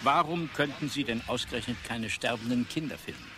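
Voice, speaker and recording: A man's voice: medium pitch, expressive, a little fast, close to an everyday voice. An actor playing a probing male questioner who challenges people in a radio drama. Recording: loud vehicle and outdoor noise.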